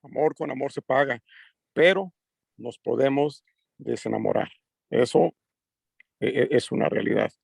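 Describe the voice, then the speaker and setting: A man giving spontaneous remarks at a book forum as a migrant community organizer, halting and earnest with many fillers. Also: mid-range voice, expressive, gravelly, husky, no echo.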